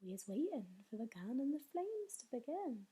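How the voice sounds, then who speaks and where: A woman voicing a friendly, cautious male giant in a storybook, hushed and conspiratorial, speaking in quaint muddled grammar. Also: on the high side, sing-song, normal speed, husky, heavily acted. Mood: hushed, wary.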